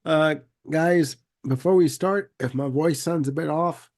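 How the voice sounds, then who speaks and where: A man's voice: fairly high pitch, measured pace, a little mellow. A man with a head cold hosting a casual music chat, sounding congested, laid-back and given to repeating himself. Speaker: podcast host.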